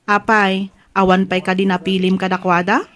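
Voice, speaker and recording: A woman's voice: mid-range voice, level tone, moderate pace, fairly bright tone, close to an everyday voice. An actress playing a cheerful young female office friend in an audio drama, light and teasing. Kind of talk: Ilocano audio drama acting.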